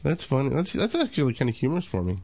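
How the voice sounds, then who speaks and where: A man's voice: mid-range voice, huge pitch swings, very fast, gravelly, warm tone. A man bantering casually as a podcast co-host, with a joking, teasing manner.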